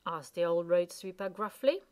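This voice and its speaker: A woman storyteller reading a storybook aloud in an expressive narrating style. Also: lowish voice, moderate pace.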